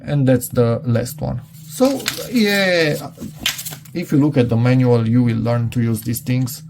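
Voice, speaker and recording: A man's voice: mid-range voice, measured pace, vocal fry, background noise. A middle-aged man giving a casual, unscripted product demonstration, hesitant and rambling as he thinks aloud. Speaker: product reviewer.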